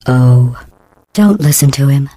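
A woman playing a sinister, passive-aggressive female artificial intelligence in a video game, deadpan and precise, with robotic voice processing. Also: rumbling low, no echo, exaggerated voice. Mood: mocking. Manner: deadpan, precise, sardonic, measured. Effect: robotic synthetic voice processing. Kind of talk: scripted video game character acting.

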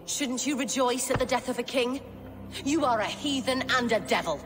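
A woman's voice: on the high side, expressive, moderate pace, fairly bright tone, a little hiss or hum. An actress playing a bitter, grieving Saxon woman in a video game, intense and dramatic. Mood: anguished. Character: bitter, grieving Saxon noblewoman, passionate and accusing. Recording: long hall reverb, music behind.

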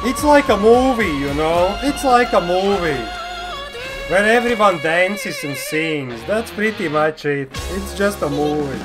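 High-voiced man reacting over music. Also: unhurried. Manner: excitable, exclamatory, repeats phrases. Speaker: reaction video host. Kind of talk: casual off-the-cuff reaction commentary. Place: indoor room. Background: game trailer music.

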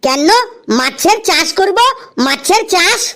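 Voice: extremely high pitch, expressive, slow pace, gravelly, sharp tone, heavily acted. A performer voicing a lazy, dim-witted and cocky young son in a comedy cartoon, whining and boasting. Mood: boastful. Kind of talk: Bengali comedy cartoon dialogue.

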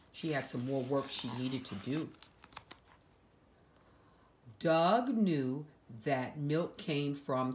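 A woman reading a picture book aloud to young children, with dramatic emphasis and hushed asides to her listeners. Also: low voice, a little hiss or hum. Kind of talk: expressive read-aloud storytelling for young children.

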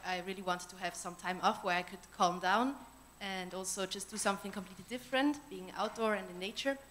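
A woman's voice: mid-range voice, fairly bright tone, slight background noise. A young Swiss German woman speaks earnestly and carefully into a handheld mic. Faint room ambience hangs behind her in the church hall. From Switzerland. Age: 20s. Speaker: mission team member. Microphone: handheld microphone.

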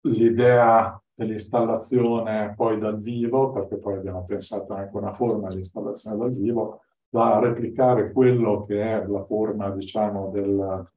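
Low-voiced older man, distant and roomy. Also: fairly even intonation, measured pace, warm tone. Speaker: guest lecturer. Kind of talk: informal lecture presentation. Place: indoor classroom with whiteboard.

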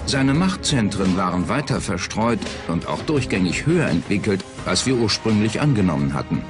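A man's voice: fairly low pitch, big pitch swings, normal speed. A man reading a scripted documentary narration. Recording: music bed under the voice. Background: loud background music.

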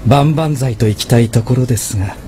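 An actor playing a dutiful, level-headed mafia aide in an audio drama, reporting formally and in measured phrases. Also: male voice, mid-range voice, mellow, slight background noise, close to an everyday voice. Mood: sober. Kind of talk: Japanese drama CD acting.

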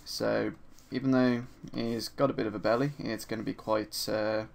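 A man with a slightly hoarse voice from a sore throat narrates a screen-recorded 3D sculpting tutorial in a relaxed, chatty way, with frequent ums and uhs. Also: lowish voice, normal speed. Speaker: 3D art instructor. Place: at his desk, voice-over for a screen recording.

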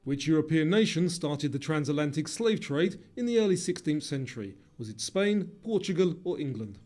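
High, very mellow male quiz narrator. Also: animated, moderate pace, soft background music.

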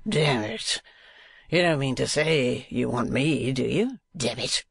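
Man putting on a drawling, mincing foppish gentleman. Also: mid-range voice, animated, takes it slowly, vocal fry, husky, no echo, studio quality, exaggerated voice. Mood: blustering, jovial. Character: mincing, foppish, effusive dandy gentleman, swearing and fawning. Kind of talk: audiobook character voice.

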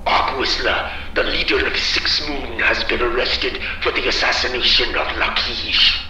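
An actor playing a formal, earnest alien speaking over a communicator radio in a radio drama, stately in manner. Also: male voice, mid-range voice, fairly even intonation, unhurried, sharp tone. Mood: courteous. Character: formal, earnest male alien friend of ancient people. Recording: echoey, distant radio with faint noise.

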